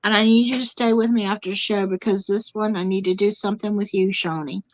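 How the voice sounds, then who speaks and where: Animated woman guest, dull dry sound. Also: medium pitch, fast talker, dry recording. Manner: animated, repeats words for emphasis, speaks to viewers directly. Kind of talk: casual spiritual chat.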